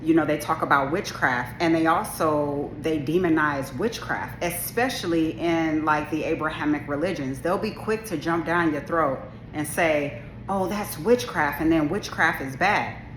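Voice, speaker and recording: A woman's voice: deep voice, unhurried, fairly bright tone, a little hiss or hum. A woman video creator talking casually to camera about spirituality, with a strong, assertive delivery and many restarts and asides. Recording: echoey room.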